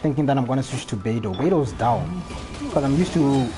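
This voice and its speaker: A man's voice: mid-range voice, expressive, brisk. A man giving casual, think-aloud commentary while playing a video game on a live stream, with mild swearing. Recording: game music behind the voice. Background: loud game music and effects.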